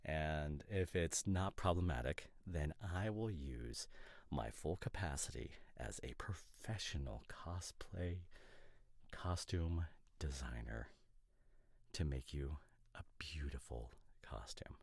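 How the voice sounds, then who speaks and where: A man playing an opinionated, nitpicking boyfriend in a casual roleplay audio drama, talking in pedantic, exasperated, halting asides. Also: rumbling low, no room sound, heavily acted. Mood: exasperated ranting. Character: opinionated, nitpicking boyfriend, a professional costume designer.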